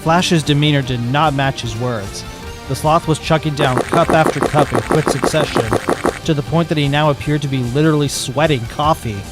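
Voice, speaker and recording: A man narrating a fan fiction chapter aloud in steady storytelling style. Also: a little high, moderate pace, music in the background, poor audio.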